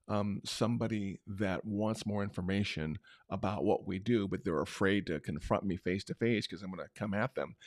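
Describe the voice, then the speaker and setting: Middle-aged man, booming voice, low pitch, dry close sound, unscripted conversational talk. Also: a little mellow, no echo. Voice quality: big booming voice. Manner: unscripted, conversational, many restarts. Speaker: marketing show host. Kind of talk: casual talk-show recap.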